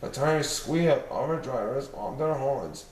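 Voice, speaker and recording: A man's voice: medium pitch, measured pace, darkish tone. A man reading a children's story aloud as narrator. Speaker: storybook reader. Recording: roomy, distant mic, faint noise.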